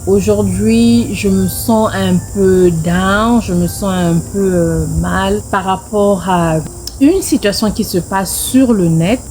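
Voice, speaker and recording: A woman's voice: mid-range voice, takes it slowly, dark tone, a little hiss or hum. A middle-aged woman with a Congolese French accent tells a personal story casually, repeating phrases for emphasis. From Congo-Brazzaville. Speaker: video storyteller.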